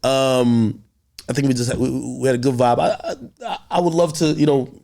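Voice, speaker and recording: A man's voice: mid-range voice, moderate pace, creaky, warm tone. A man born in Jamaica, hosting a podcast interview in brash, profane, joking banter. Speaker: podcast host.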